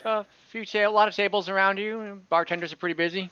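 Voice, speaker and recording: A man narrating as game master of an online tabletop game, describing the scene in a relaxed way with small restarts. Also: sits high, fast, smooth, no echo. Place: home room over online voice chat. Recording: dull online call audio.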